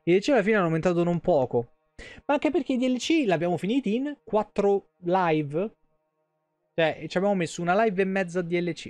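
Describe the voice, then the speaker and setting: A man's voice: high voice, lively intonation, fairly quick, a little mellow, no room sound, faint music behind. A man in his thirties with a central Italian, Roman accent, giving casual live gameplay commentary in a colloquial, salty-tongued way, thinking aloud. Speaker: game streamer, host. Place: indoor streaming setup at home.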